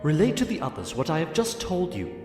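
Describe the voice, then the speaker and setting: Guy doing a spooky mocking narrator spirit, kinda high, super animated, theatrical audio drama, music underneath. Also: brisk. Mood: wry, grave.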